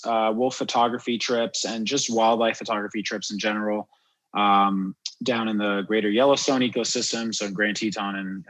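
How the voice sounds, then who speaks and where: A man's voice: lowish voice, a bit bright, low-quality recording. A man from Miami, born in France, chatting informally and reflectively as an interview guest. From born in France, grew up in Miami, USA. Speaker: podcast guest, wildlife photographer and guide. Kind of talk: casual interview chat.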